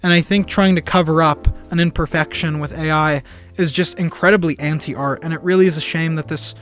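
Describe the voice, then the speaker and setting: An earnest young man talks through a film review in a casual, rambling way. He stumbles over his words and restarts his sentences. His voice sits a little high for a man, and faint music plays underneath. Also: steady tone, a little fast, a bit bright. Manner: earnest and enthusiastic.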